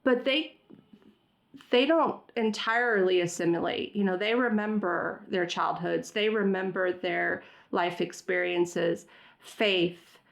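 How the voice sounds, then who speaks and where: A middle-aged woman with a gravelly, creaky edge to her voice, speaking as an interview guest. Her first language is Farsi, and she talks thoughtfully, pausing on fillers and restarting sentences as she goes. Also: lowish voice. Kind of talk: conversational interview.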